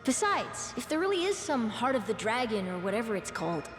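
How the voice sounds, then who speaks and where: A woman voicing an earnest, brave, sickly young boy in a video game, gentle and slightly hesitant. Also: on the high side, big pitch swings, brisk. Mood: reassuring. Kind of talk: scripted video game cutscene acting. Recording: echoey hall with faint music.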